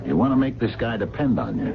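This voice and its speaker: A man's voice: medium pitch, sing-song, fast talker, creaky. An actor playing a worried working-class diner man in a radio drama, insistent and colloquial, with an American accent. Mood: worried, pleading. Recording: dull, noisy old radio broadcast.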